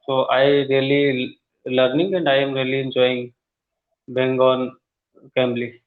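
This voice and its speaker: A man's voice: medium pitch, flat delivery, a little slow. Hesitant Indian man on a tinny call. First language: not English. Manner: hesitant, many fillers, non-native grammar. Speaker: English learner. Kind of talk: online conversation practice. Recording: tinny phone-like call audio.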